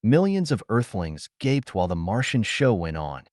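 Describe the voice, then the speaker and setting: A man narrates pulp science fiction in a low voice. His delivery swings widely in pitch, storyteller fashion, and the recording is dry. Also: very animated, moderate pace, darkish tone, no room sound. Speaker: audiobook narrator.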